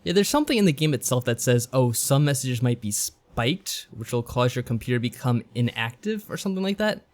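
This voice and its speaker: A man's voice: medium pitch, expressive, brisk, few pauses. A man co-hosting a podcast in casual chat, speaking in a tentative, understated way. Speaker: podcast co-host.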